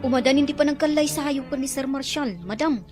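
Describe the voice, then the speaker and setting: A woman's voice: high voice, fairly quick, over music, lightly acted. A woman playing a cheeky, boyish tomboy servant in an audio drama, playful, naive and bantering. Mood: teasing. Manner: teasing, bantering.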